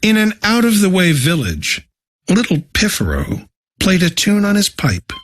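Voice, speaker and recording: A man narrating a children's storybook in an animated, scripted read-aloud style. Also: high-pitched, unhurried. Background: instrumental music.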